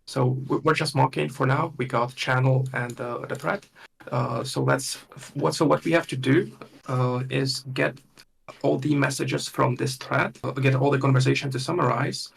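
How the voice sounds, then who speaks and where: A man leading a workshop with a live software demonstration, thinking aloud in a halting way and murmuring to himself as he works. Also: medium pitch, fairly even intonation, creaky, husky. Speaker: workshop instructor.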